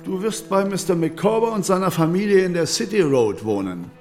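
Businesslike warehouse manager, radio drama: animated, fairly high voice, matter-of-fact instructions, faint music. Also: male voice, a little fast. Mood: brisk, businesslike. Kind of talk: German radio drama acting.

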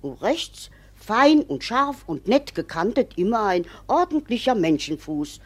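An excitable, superstitious village witness in a classic comedy radio play. Her husky voice rises and falls dramatically as she spins out her testimony with emphatic, gossipy relish. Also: mid-range voice, unhurried. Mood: insistent, dramatic.

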